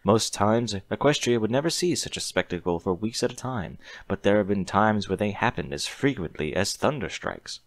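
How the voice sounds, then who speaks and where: A man's voice: fairly low pitch, lively intonation, normal speed, hardly any pauses, dry recording. A man reading a story aloud in a scripted audiobook narration style.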